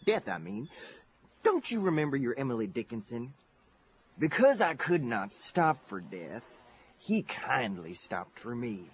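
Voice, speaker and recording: Wildly swooping folksy carrion creature. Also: male voice, medium pitch, very animated, a little slow, slight background noise. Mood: mock soothing. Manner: folksy drawling teasing, ungrammatical. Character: folksy, macabre carrion-eating desert creature, slyly menacing. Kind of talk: audio drama acting.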